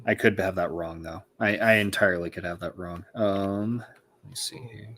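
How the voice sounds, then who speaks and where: A man with a fairly low voice speaks as a co-host in a relaxed discussion. He talks informally and haltingly, as if working out his thoughts while he goes. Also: slight background noise. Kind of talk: casual discussion.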